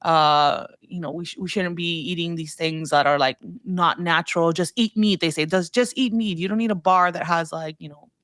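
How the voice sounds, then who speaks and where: A woman's voice: lowish voice, fairly even intonation, moderate pace, few pauses, a bit bright, no room sound, clean studio sound. A woman giving casual conversational commentary as a podcast guest, rambling informally and peppering her sentences with "like". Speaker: podcast guest, food trends newsletter writer.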